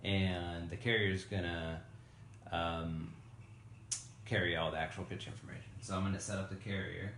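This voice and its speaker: A man with a low voice that creaks into fry talks through a music software demonstration. He is informal and thinks out loud as he works. The room echoes around him. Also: steady tone, moderate pace, slight background noise. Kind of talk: casual hands-on software tutorial.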